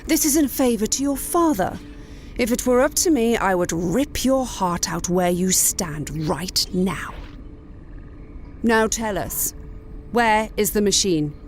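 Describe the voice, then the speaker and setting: A woman's voice: mid-range voice, expressive, slow, a little hiss or hum. A woman playing an imperious, ruthless wolf mother, formal, commanding and menacing, in a sci-fi audio drama. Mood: cold menace.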